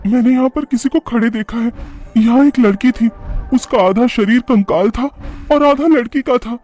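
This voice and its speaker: A man's voice: very high voice, moderate pace, a little mellow, faint music behind, pitched above the performer's own voice. A man voicing a frightened, insistent young housewife in a horror cartoon, pleading and explaining.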